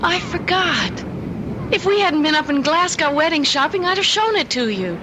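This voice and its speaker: An actress playing an anxious, dreamy young bride-to-be in a radio drama, earnest and a little uneasy. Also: female voice, high-pitched, very animated, moderate pace, pushed and bright, heavily acted. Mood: lightly earnest. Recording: noisy old radio drama recording.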